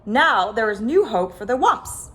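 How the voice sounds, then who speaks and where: A woman reading a children's picture book aloud as a storyteller, with dramatic, expressive phrasing. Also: on the high side, measured pace. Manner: dramatic, stressed sound words and phrases. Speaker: storyteller, host of a story time.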